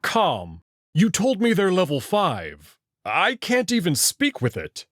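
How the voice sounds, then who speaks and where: Man voicing a big expressive alien wolf. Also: high-pitched, slow, no echo, studio quality, heavily acted. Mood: frustrated. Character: massive male alien wolf, expectant, easily exasperated.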